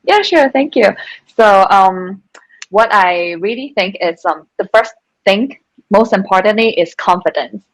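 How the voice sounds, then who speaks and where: A woman's voice: mid-range voice, lively intonation, unhurried, no room sound, low-quality recording. A woman from Hong Kong speaking as a panelist in a casual online chat, chatty, with many fillers and restarts. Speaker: panelist, venture capital co-founder.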